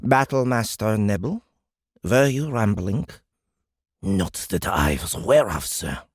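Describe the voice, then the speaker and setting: An actor playing a stern, sardonic senior male alien officer in an audiobook, with a gravelly rasp and a deliberate, cutting delivery. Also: lowish voice, animated, dry recording, studio quality, full character voice. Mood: dry sarcasm. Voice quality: gravelly, raspy. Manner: deliberate, cutting, drily mocking. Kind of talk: audiobook character acting.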